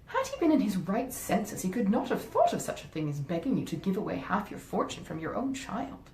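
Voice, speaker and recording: A woman's voice: big pitch swings, a little fast, a little mellow. A woman voicing a selfish, wheedling wife from a classic novel, emphatic and coaxing in her delivery. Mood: dismissive. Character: selfish, wheedling wife scheming against her sisters-in-law. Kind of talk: acted dialogue in a classic novel reading. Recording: roomy echo, faint rumbling noise.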